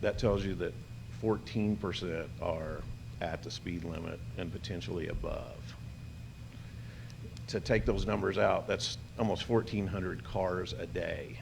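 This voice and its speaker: A deep, gravelly-voiced man speaks at a council podium mic with steady background noise. He is earnest and polite, with frequent ums. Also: measured pace. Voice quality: gravelly, low voice with hesitant fillers. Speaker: local business owner speaking at public comment. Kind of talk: public comment at a city meeting. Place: city commission chamber. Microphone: podium microphone.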